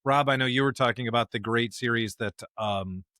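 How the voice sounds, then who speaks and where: A man's voice: medium pitch, fairly quick, sharp tone, no echo, clean studio sound. Chatty American man hosting a podcast. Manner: chatty, informal. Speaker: podcast co-host and pastor. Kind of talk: casual podcast chat.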